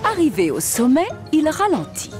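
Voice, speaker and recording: A woman narrating a dubbed children's cartoon in a lively storybook manner. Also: high voice, normal speed. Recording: studio dub over loud music.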